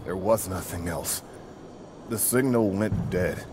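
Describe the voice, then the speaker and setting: An injured, distrustful colonist, speaking haltingly in a lowish voice. Clear ambient noise runs behind him. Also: male voice. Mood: shaken. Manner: halting, then defiant.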